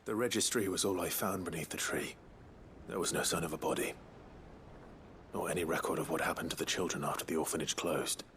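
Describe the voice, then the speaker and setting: A grim but compassionate male adventurer from a video game. His voice is deep and hushed, often nearly whispered, with short, brooding phrases. Clear ambient noise surrounds it. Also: fairly even intonation, brisk. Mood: matter-of-fact. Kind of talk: dramatic video game acting.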